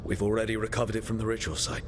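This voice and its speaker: An actor playing an earnest young elven mage hero in a video game, speaking plainly with an inquiring manner. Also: male voice, lowish voice, monotone, rapid-fire, in a large hall, noisy background, natural voice. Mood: focused questioning. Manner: plain-spoken, questioning, earnest. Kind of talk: dramatic video game dialogue.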